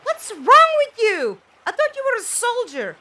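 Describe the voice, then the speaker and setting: A woman playing a defiant young companion in an audio drama, youthful and expressive, with earnest, pointed questioning. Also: very high voice, a little slow, exaggerated voice. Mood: defiant, angry. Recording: background ambience noise.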